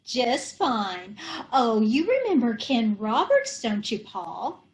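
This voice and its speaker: A giddy, high-voiced housewife, played by a woman, who twists every saying without noticing. She swings her pitch around in an unhurried, sing-song way, straight out of 1930s radio comedy. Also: very animated. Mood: chirpy. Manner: malapropisms, chirpy and sure of herself. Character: scatterbrained young wife mangling her words. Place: home over a video call.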